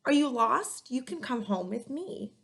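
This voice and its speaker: A woman's voice: sits high, lively intonation, a little slow, creaky, a bit bright, exaggerated voice. A woman voicing a friendly male taxi driver from a picture book, in an inviting, singsong rhyming delivery. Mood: kindly.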